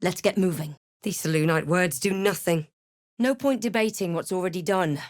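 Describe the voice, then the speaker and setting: A woman's voice: on the low side, normal speed, lightly acted. A woman playing a wry, watchful young adventurer in a fantasy video game, with clipped, snarky remarks. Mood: resolute. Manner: snarky, clipped, observant asides. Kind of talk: video game character barks.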